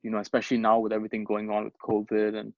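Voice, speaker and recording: A man's voice: lowish voice, completely flat delivery, racing, gravelly, dry recording. Rambling young man, dry muffled sound. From Bangladesh. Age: young adult. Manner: rambling, many restarts and fillers, swears casually. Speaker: podcast co-host. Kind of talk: casual chat.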